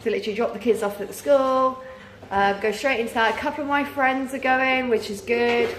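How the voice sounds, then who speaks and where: A woman's voice: on the high side, fairly even intonation, a little fast, fairly bright tone. A British woman in her thirties chatting casually and informally in a rambling way, tired and losing her voice with a sore throat. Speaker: vlogger, mother. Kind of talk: casual chat to camera. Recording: roomy echo, clear background noise.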